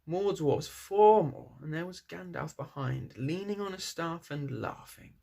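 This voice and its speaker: Creaky-voiced male storyteller. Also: fairly high pitch, animated, unhurried, warm tone. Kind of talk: story narration read aloud.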